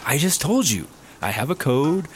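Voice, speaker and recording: A man as a cocky, animated slacker buddy. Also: on the high side, moderate pace, a little hiss or hum. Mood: cocky, casual. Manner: breezy, argumentative. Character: cocky, laid-back, hungry buddy-cop slacker. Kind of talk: sci-fi comedy audio drama acting.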